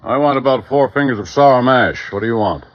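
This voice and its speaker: A man's voice: mid-range voice, a little fast, close to an everyday voice. An actor playing a blunt, grieving, rich old man with an American accent in a 1950s radio drama. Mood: blunt, hospitable. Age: older. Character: rich old dying millionaire, gruff, grieving his son. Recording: dull vintage radio recording.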